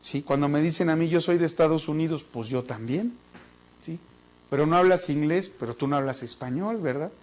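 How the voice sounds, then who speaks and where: A man's voice: a little high, a little fast, a little mellow. A man with a Mexican accent teaching a business class in a chatty, joking way, often repeating words as he thinks. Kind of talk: online business class lecture.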